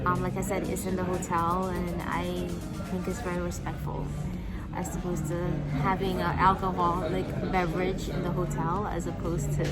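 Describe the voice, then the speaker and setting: A woman's voice: lowish voice, flat delivery, normal speed, over loud music. A woman chatting casually through a travel vlog, rambling with frequent fillers. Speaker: travel vlogger.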